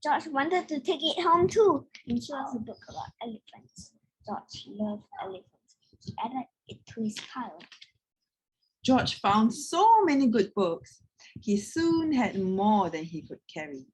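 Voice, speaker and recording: A woman reads a children's picture book aloud. Her voice is husky and swings widely in pitch, and she takes an unhurried pace. She is expressive, speaking as if to young listeners. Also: rough recording. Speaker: read-aloud storyteller.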